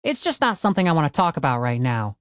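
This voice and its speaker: A man voicing a young male adventurer who speaks in a quiet, measured way. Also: a little high, sing-song, smooth, no room sound, studio quality. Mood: earnest. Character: earnest young man adventurer, grieving but determined.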